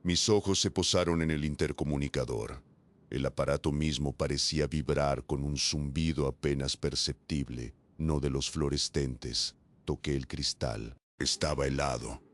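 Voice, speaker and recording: A man reading a first-person horror story as scripted narration, with slow, dramatic pauses. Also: a bass voice, fairly even intonation.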